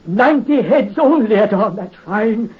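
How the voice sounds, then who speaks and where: A man's voice: high voice, lively intonation, normal speed. A grim dying old headsman, bossy and bitter, muffled old radio sound. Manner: grave, commanding, fading into death. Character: dying old male executioner, vengeful and commanding. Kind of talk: 1930s radio drama acting. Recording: dull lo-fi 1930s radio recording.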